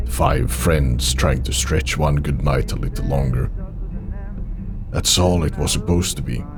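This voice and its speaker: A man with a very deep voice full of gravelly creak narrates a dramatic story. His delivery is slow, somber and measured, built on short, weighty phrases. Music plays clearly behind him. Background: background music.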